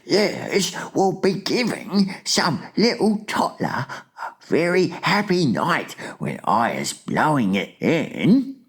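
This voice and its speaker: A woman voicing an excitable, kindly male giant in a storybook, with quaint mangled grammar and emphatic, drawn-out exclamations. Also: mid-range voice, voice pushed up. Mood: thrilled. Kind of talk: storybook character voice in a dramatic reading.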